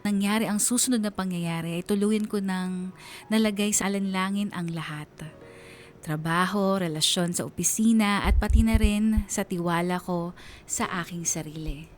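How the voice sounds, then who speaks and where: A woman's voice: medium pitch, normal speed, lightly acted. Woman playing a sad filipino letter sender telling her story on radio, medium voice, confiding, bit of noise behind. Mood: troubled. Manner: heartfelt, confiding. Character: troubled, disheartened female letter writer telling her story. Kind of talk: dramatised radio narration.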